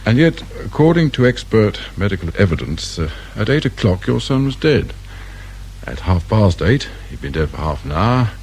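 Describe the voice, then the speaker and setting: Deep-voiced, shrewd British police inspector. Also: male voice, very animated, moderate pace, darkish tone, slight background noise. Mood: probing, measured. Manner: probing, brusque, sardonic. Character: shrewd, dry, irritable male police detective inspector. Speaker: actor in a radio drama. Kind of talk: British radio crime drama acting.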